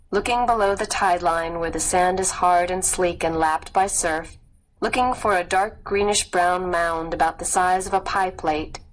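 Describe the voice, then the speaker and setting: A woman reading scripted nonfiction as an audiobook narrator, measured and expressive. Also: on the low side, low-quality recording.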